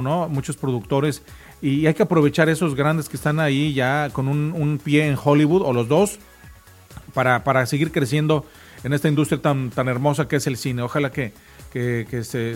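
A man with a Mexican accent chatting casually and colloquially as a talk show host. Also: a little high. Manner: colloquial, slangy. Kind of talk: casual radio chat.

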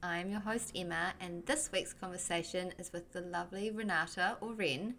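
A woman's voice: lowish voice, moderate pace, fairly bright tone, a little hiss or hum. A woman hosting a podcast, upbeat and chatty with frequent fillers. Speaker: podcast host. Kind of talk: casual podcast chat.

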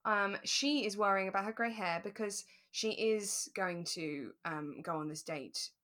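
A woman's voice: mid-range voice, measured pace, fairly bright tone. A woman chatting casually as a podcast co-host, often laughing as she talks. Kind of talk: casual chat about a radio drama.

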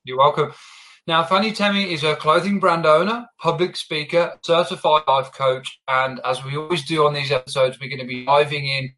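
A man speaks as a podcast host in an upbeat, conversational interview style. His voice is bright and forward, with a clear edge to it. He addresses both guest and listeners directly. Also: a little high, fairly even intonation, moderate pace, poor audio. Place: based in Melbourne, live online podcast.

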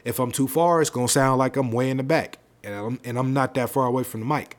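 Male podcast host, deep projected voice, casual slangy rambling delivery, close mic. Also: fast talker. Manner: loose, rambling, conversational with slang. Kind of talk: casual solo podcast talk. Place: home setup, seated on a leather seat. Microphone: close podcast microphone.

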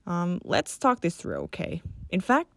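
A woman's voice: on the low side, expressive, unhurried, creaky, dry recording. A woman playing a caring peacemaker friend in an audio drama, reasoning and placating with some hesitation. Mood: sympathetic, coaxing.

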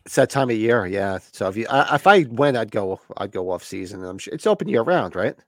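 A man co-hosting a podcast in casual chat, gravelly and smoky from a recent flu, laid-back and swearing casually. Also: fairly low pitch, lively intonation, a little fast, dry recording, studio quality. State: getting over a flu. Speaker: podcast co-host.

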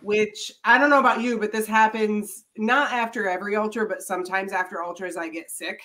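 A woman's voice: medium pitch, steady tone, brisk, pushed and bright. A woman co-hosting a running podcast, talking casually with many fillers and easy laughs, her voice thick from a head cold. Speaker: podcast co-host. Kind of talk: casual chat.

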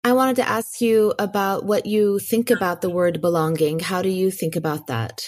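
A woman's voice: medium pitch, fairly even intonation, normal speed, a little mellow. A woman hosting a podcast in a conversational interview style. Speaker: podcast host.

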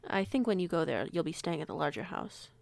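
A woman playing a protective female werewolf pack alpha in an audio roleplay, speaking in a measured, polite, reassuring way. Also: fairly low pitch, sing-song, vocal fry, no echo. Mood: pleasant, informative. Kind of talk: audio roleplay acting, conversational.

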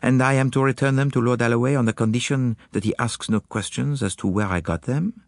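A man's voice: medium pitch, a little fast, no room sound, studio quality, pitched above the performer's own voice, natural voice. A man voicing a courtly, confident Belgian detective with a French-speaking accent and formal, precise phrasing, in an audiobook story. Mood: courteous, measured. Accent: French-speaking Belgian, put on.

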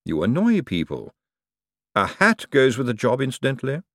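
A man's voice: medium pitch, huge pitch swings, no room sound, pitched above the performer's own voice. A man acting an urbane, coolly menacing lord. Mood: coolly commanding. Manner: measured, formal, drily ironic. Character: urbane, calmly menacing ruling lord, dry and precise.

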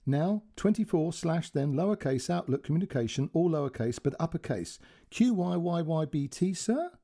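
A man voicing a calm, patient young female call centre worker who keeps politely saying "sir" in a put-on accent. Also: on the high side, moderate pace, voice pushed up.

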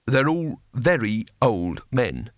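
A man's voice: mid-range voice, big pitch swings, takes it slowly, no echo, studio quality. A man voicing a nervous, doubtful wizard in an audiobook story, hesitant and wryly sarcastic as he questions things. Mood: exasperated.

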